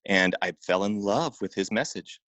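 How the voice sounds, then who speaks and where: A man with a low-pitched voice shares personal stories as an interview guest. He talks informally and haltingly, often repeating words and adding "you know." The sound is dry, with very little room around it. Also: a bit bright, no room sound. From Oregon, United States. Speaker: interview guest, acupuncturist and massage therapist. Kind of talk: casual personal storytelling in an interview.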